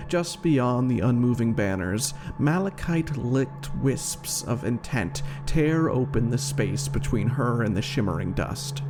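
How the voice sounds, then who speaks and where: A man delivers dramatic scripted narration at an unhurried pace. His voice is gravelly with fry and swings expressively through the phrases, with soft music underneath. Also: mid-range voice. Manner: measured, theatrical. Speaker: game lore reader and streamer.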